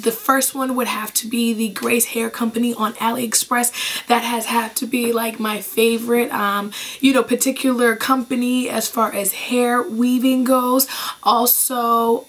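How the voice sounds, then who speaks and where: Hoarse young woman chatting about favorites. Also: fairly high pitch, a bit bright. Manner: chatty, enthusiastic, repeats praise. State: hoarse, losing her voice after being sick. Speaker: beauty vlogger. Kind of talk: casual product review. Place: small bedroom with clothes racks behind.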